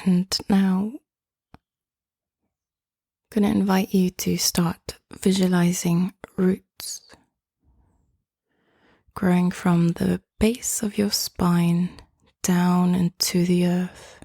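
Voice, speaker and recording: Woman, meditation guide, half-whispered, gentle, slow, dry close sound. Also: on the low side, little pitch movement, vocal fry, dry recording. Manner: soft, hushed, often half-whispered delivery; gentle, inviting, unhurried phrasing.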